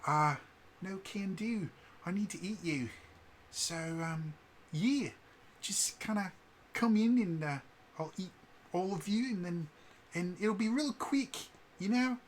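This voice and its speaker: A man's voice: sits high, slow, long pauses, slight background noise, pitched above the performer's own voice. A man voicing a giant man-eating fungus creature with an exaggerated New Zealand accent, politely hesitant and matter-of-fact about its menace. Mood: apologetic, casual. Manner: hesitant, casually polite while threatening.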